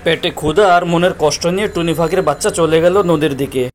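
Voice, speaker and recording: A man's voice: fairly high pitch, fairly even intonation, quick pace, a little hiss or hum. A man giving scripted narration for a children's cartoon story.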